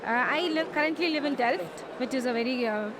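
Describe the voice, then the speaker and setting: A woman's voice: high voice, brisk, noisy background. Young woman, hesitant answers. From India. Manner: hesitant, many uhs. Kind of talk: casual interview answers.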